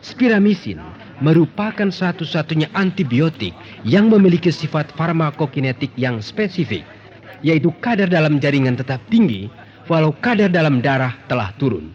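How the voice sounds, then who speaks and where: A man's voice: a little high, very animated, normal speed, a little mellow. An actor playing a formal, declamatory male medical lecturer in a scripted radio advertisement. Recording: dull lo-fi radio recording.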